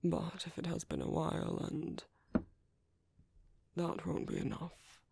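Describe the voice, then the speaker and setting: A man voicing a kindly, caring British vampire priest in a soft ASMR roleplay, breathy and often near a whisper, with a hesitant, politely formal way of talking. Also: fairly high pitch, level tone, takes it slowly, no echo, exaggerated voice. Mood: gentle, caring.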